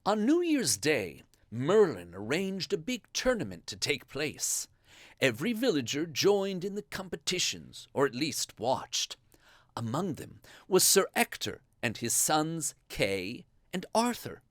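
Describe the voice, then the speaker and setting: A storyteller reading a scripted children's bedtime story in an expressive, animated way. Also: mid-range voice, measured pace, no room sound, clean studio sound.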